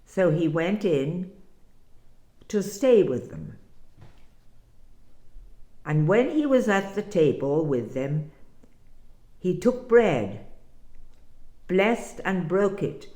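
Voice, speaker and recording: A woman giving an expressive scripture reading in a deliberate manner with dramatic pauses. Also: fairly low pitch, roomy sound. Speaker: scripture reader.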